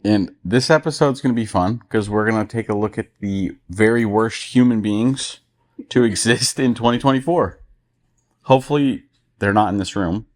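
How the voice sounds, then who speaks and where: A man co-hosting a casual podcast, leading the talk in a relaxed, upbeat way. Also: on the low side, normal speed. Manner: relaxed, upbeat, leads the episode. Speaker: podcast co-host. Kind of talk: casual chat.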